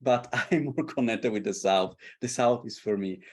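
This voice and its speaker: Italian guy, strong accent, middle-aged, talks with real passion, animated. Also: medium pitch, moderate pace, breathy edge. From Italy. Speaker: artist and tarot creator. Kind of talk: casual conversation. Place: London.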